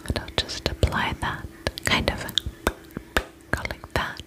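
A woman doing an ASMR spa roleplay as an attendant, speaking in a soft breathy whisper close to the mic, gentle, soothing and affectionate. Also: takes it slowly. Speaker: ASMR performer playing a vampire spa attendant. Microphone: close ASMR microphone.

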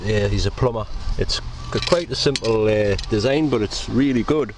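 A man's voice: fairly low pitch, moderate pace. A man in his forties with a Northeast English accent chatting casually to a handheld camera, rambling with lots of ums and restarts. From North East England. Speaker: allotment vlogger. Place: outdoor allotment garden, then indoors in a shed. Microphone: handheld camera mic, then fixed camera. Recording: outdoor ambience with sirens and traffic. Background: outdoor ambience, traffic.

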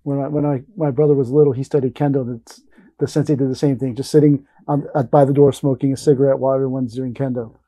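Middle-aged male host, mellow tone, close dry sound, brief conversational questions. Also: mid-range voice, level tone, fast, dry recording, poor audio. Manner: short questions and backchannel replies. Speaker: podcast host, interviewer. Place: small studio room, indoors.

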